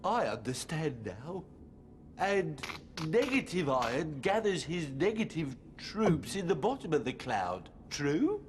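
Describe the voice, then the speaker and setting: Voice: medium pitch, very animated, takes it slowly, noisy background. An actor voicing a grumpy, uneasy drop of alcohol in a cartoon, hesitant and complaining. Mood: puzzled. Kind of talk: cartoon voice acting.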